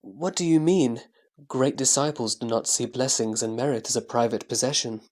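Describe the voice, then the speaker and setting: A man's voice: medium pitch, moderate pace, darkish tone, natural voice. A man playing Subhuti, an earnest and reverent disciple reasoning with his master, in a scripted sutra audio drama.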